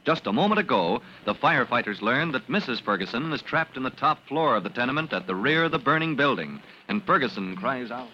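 A man's voice: medium pitch, very animated, a little fast, few pauses, sharp tone. A man narrating an old-time radio drama as announcer, grand and emphatic. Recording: dull, faintly noisy old radio recording.

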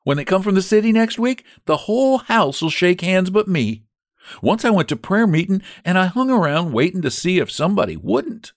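Man voicing a young maid, high voice, homely rural grammar, earnest, dry close sound. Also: sing-song, moderate pace, no room sound. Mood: wistful. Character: shy humble young housemaid, plain-spoken.

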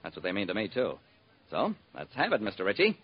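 A man's voice: lowish voice, huge pitch swings, quick pace, slightly rough, full character voice. An actor playing a wry, hard-boiled private detective, narrating his case in clipped old-time radio style. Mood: probing. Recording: muffled vintage radio recording.

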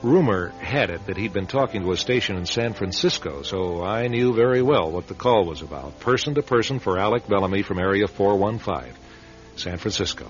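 An actor playing a plain-spoken, put-upon radio station manager in a radio drama, conversational, hesitant and confiding. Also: male voice, lowish voice, a little fast, highs cut off, soft background music, lightly acted. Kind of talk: radio drama acting and first-person narration.

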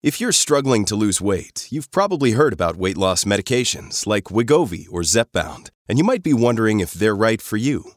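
A man's voice: fairly low pitch, sing-song, moderate pace, hardly any pauses, no echo, studio quality. A man reading a scripted advertisement as a commercial voice-over.

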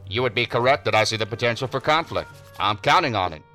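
Stern male federal agency director, lowish forceful voice, formal and commanding, for sci-fi audio drama. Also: expressive, faint music behind. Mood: commanding, impatient. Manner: formal, firm, commanding, measured. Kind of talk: science fiction audio drama acting.

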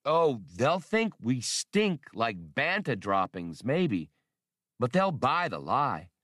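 A man voicing a brash, cocky male starship captain who talks fast and excitably. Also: medium pitch, dry recording, studio quality, pitched above the performer's own voice, exaggerated voice. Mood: persuasive.

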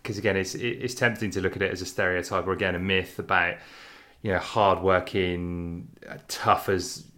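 A man with a very deep voice hosts the conversation and puts questions to his guest. He halts often, repeating words as he feels his way into each question. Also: steady tone, bright, forceful tone. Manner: halting, repeats words while framing questions. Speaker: interviewer. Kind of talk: conversational interview.

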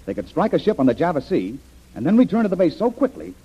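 An actor playing a confident, decisive adventure hero in an old-time radio drama, earnest and brisk. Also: male voice, fairly high pitch, sing-song, dark tone, husky. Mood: confident, explanatory. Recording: dull, band-limited vintage radio recording.

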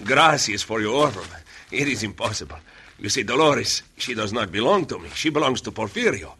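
Radio comic relief: old Mexican burro driver, grandiose, bright raspy voice, broken English. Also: male voice, fairly low pitch, animated, a little fast, full character voice. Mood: boastful. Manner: pompous, exclamatory. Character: pompous, long-suffering old Mexican burro driver, boastful scholar. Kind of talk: old-time radio western acting.

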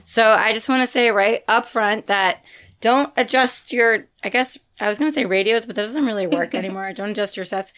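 A woman hosting a book podcast, hoarse from a lost voice, chatting eagerly and laughing often. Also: medium pitch, a little fast, a bit bright, soft background music. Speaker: podcast host. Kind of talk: casual chat about new books.

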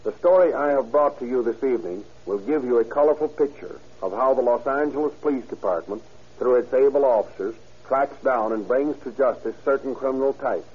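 A man with a husky voice giving a formal scripted 1930s radio introduction as a police official. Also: mid-range voice, brisk. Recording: dull vintage radio recording.

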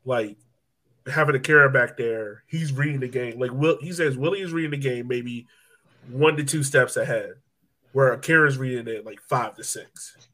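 A man hosts a casual sports podcast at an ordinary pitch. He talks conversationally, like he is chatting with friends, and peppers his sentences with "like" and "you know". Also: moderate pace, pushed and bright. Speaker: soccer podcast host.

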